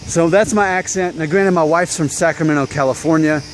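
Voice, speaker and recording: A man in his thirties from Alabama, his mild Southern American accent coming through as he talks casually to the camera. His voice sits a little high for a man, and he thinks aloud in a relaxed, chatty way. A faint noise hums underneath the recording. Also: fairly quick, poor audio. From Alabama, USA. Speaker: vlogger.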